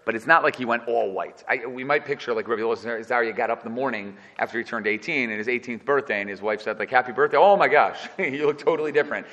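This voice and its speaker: A man's voice: medium pitch, in a large hall, highs cut off. Joking man teaching a Torah class. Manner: lively, joking, asks the class questions.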